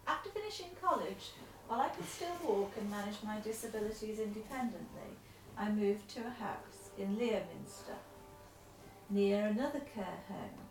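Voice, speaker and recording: Lady reading a letter aloud at home, gentle and coaxing, echoey and a bit far off. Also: mid-range voice, expressive, normal speed, soft background music. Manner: patient, encouraging, coaxing. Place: room at home. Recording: echoey room, voice off-mic.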